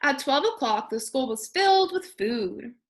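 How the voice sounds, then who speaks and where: A woman, a kindergarten teacher, reading a children's storybook aloud expressively and deliberately to young listeners. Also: sits high, expressive.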